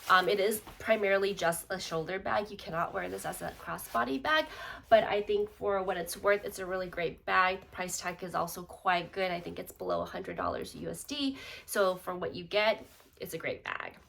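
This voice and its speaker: Congested woman vlogger with gravelly low voice. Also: moderate pace, a little hiss or hum. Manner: relaxed, chatty, many fillers. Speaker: YouTube vlogger. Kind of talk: casual bag review vlog. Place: home.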